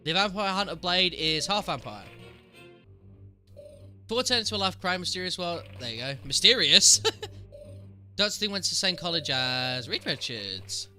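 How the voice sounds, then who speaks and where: A man's voice: high-pitched, animated, normal speed, pauses often, sharp tone, soft background music. A man with a cold and a sniffly voice, chatting casually with his stream viewers while answering game trivia aloud. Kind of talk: casual live-stream commentary.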